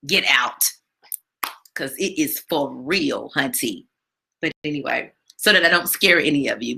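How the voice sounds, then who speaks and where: A woman hosting a live stream from home, chatting casually with lots of fillers and a big, loud voice. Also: sits low, vocal fry. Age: adult with a daughter. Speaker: live stream show host. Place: home, not her usual studio.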